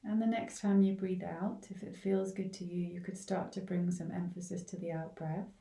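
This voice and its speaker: A woman leading a guided body scan meditation in a gentle, unhurried way, leaving long pauses between short phrases. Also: lowish voice, little pitch movement, creaky. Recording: roomy, echoing, distant from the mic.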